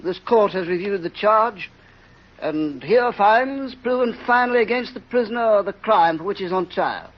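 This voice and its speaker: An actor playing a stern, cold, pompous judge with formal, officious pronouncements in an old radio drama. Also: male voice, high-pitched, lively intonation, moderate pace. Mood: stern, detached. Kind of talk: 1930s radio drama acting. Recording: dull old radio recording, faint noise.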